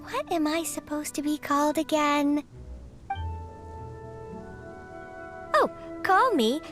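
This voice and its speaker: A woman's voice: high-pitched, lively intonation, normal speed. A woman voicing a plump, bird-like female teapot spirit in a video game, formal and prim as a butler. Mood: prim, flustered. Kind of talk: video game character dialogue. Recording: music under the voice.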